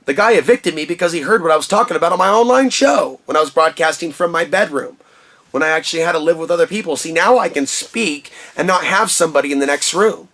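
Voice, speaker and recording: A man with a booming voice talking through a personal vlog monologue in an impassioned, ranting way from his shed studio. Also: fast talker, fairly bright tone. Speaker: vlogger.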